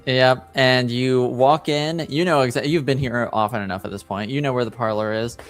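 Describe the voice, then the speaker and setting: A man working as game master, narrating an improvised tabletop roleplaying game in a halting, conversational way. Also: mid-range voice, steady tone, faint music behind.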